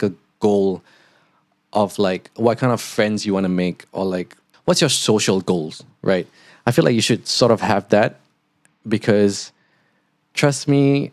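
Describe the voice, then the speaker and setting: Young male podcast host, Singaporean accent softened toward neutral, low voice, loose filler-heavy delivery. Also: dry recording, studio quality. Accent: Singaporean, partly neutralized toward Australian. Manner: rambling, lots of "like" fillers. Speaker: podcast host, international student. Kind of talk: casual podcast monologue.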